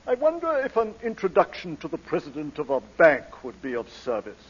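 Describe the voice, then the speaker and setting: An actor playing a flustered, well-meaning lord who stammers and hesitates, in old-time radio drama style. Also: male voice, on the high side, huge pitch swings, brisk, breathy edge, full character voice. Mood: awkwardly obliging. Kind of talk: 1940s radio acting. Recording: dull vintage radio broadcast recording.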